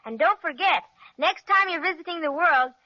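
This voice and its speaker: A woman's voice: very high-pitched, lively intonation, normal speed. A performer voicing a polite, earnest little girl in a 1930s radio serial, on a muffled old recording.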